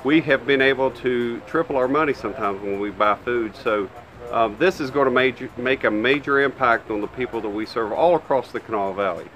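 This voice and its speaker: A man's voice: medium pitch, brisk, fairly bright tone, rough recording. A middle-aged man speaking for a charity, giving a grateful, plain-spoken thank-you speech outdoors, with some hesitations. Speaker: charity representative. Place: outdoors on a porch.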